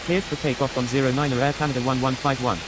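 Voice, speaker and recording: A man voicing a brisk airline pilot in a flight control game, using clipped, formulaic radio phraseology. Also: mid-range voice, level tone, lightly acted. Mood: businesslike. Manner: formulaic, procedural. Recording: clear hiss over radio-style game audio.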